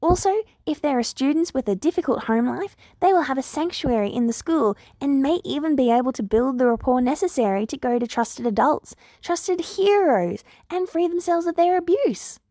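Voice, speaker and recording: A woman's voice: sits high, lively intonation, normal speed, few pauses, mellow, dry recording, pitched above the performer's own voice. A woman voicing an earnest, eager older girl, a polite and persuasive hero student in a story.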